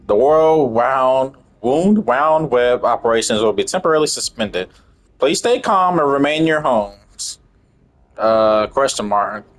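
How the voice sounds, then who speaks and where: A man's voice: mid-range voice, expressive, measured pace. Blunt, slangy male gamer. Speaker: gamer reacting to game story. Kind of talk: casual gameplay commentary. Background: faint game music.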